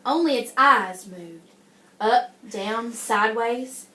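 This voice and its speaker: A woman's voice: a little high, slow pace, fairly bright tone. A woman teacher reading a picture book to young children, encouraging them and often stopping to ask questions. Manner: encouraging, addresses the class as guys, frequent check-in questions. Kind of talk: interactive classroom read-aloud with questions and praise. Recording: echoey room.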